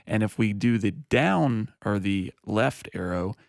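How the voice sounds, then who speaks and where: A man with a deep, low voice explains software features in a relaxed, conversational way, dropping in casual fillers. The sound is dry and close, with no room around it. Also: unhurried, vocal fry, no echo. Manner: relaxed, explanatory, uses fillers like "you know". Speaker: audio software demonstrator.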